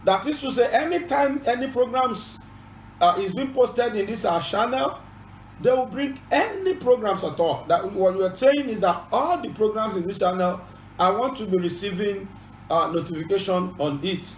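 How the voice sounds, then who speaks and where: A man's voice: high voice, lively intonation, normal speed, reverberant, slight background noise, poor audio. A man with a Yoruba accent and Yoruba as his first language, hosting an informative channel and switching between Yoruba and English in an earnest, repetitive, formal way. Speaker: channel host and presenter. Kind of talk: informative bilingual presentation.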